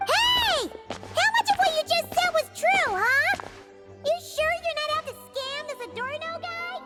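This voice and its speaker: A woman's voice: extremely high pitch, animated, a little slow. A woman voicing a small girlish companion creature in a video game cutscene, blunt and suspicious, talking about herself in third person. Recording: long reverb over loud game music. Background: game soundtrack music.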